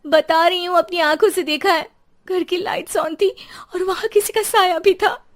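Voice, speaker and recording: A woman's voice: very high voice, steady tone, normal speed, pitched above the performer's own voice. A woman voicing an agitated, sarcastic young married woman in a cartoon horror story. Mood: indignant. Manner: scolding, sarcastic, exclaiming. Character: agitated, sharp-tongued young married woman, frightened of ghosts.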